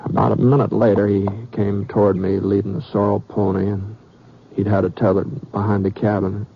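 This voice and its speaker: Gravelly, laconic western lawman, muffled old radio. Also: on the low side, flat delivery, normal speed. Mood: measured narrating. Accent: American. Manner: terse, understated, plain-spoken. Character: laconic western frontier lawman telling his own story. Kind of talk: 1950s western radio drama acting and narration. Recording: muffled old radio recording with background hiss.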